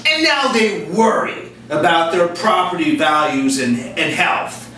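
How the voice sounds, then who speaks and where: A man's voice: on the high side, expressive, unhurried, bright, forceful tone, a little hiss or hum. A man voicing a mock-earnest, sarcastic male interviewer puppet in a satirical puppet show. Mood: ironic. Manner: mock-earnest, ironic praise. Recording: echoey room, mic far off.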